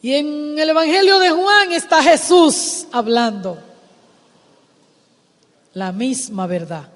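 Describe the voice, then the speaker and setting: Slow, emphatic woman preaching. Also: sits high, expressive. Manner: emphatic, repeats phrases, rises to shouts. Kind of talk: sermon. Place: church sanctuary. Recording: long hall reverb.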